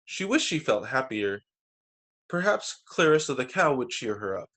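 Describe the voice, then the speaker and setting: A man's voice: medium pitch, sing-song, moderate pace, fairly bright tone. A man reading picture books aloud to children as a teacher, narrating over a video call. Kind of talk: storytime narration read aloud.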